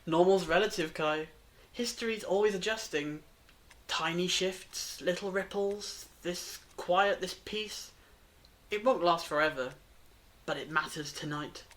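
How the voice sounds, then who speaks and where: A performer playing a wise, gently wry time traveller in an audio drama, speaking in a measured, reflective way. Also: mid-range voice, lightly acted. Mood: quiet, reflective. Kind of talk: fan-made audio drama acting.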